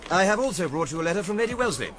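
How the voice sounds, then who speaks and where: A man's voice: sits high, very animated, very fast, a bit bright, slight background noise. A man playing a forthright brigade general in a radio drama, blunt yet formally deferential. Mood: incredulous, respectful. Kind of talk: historical radio drama acting.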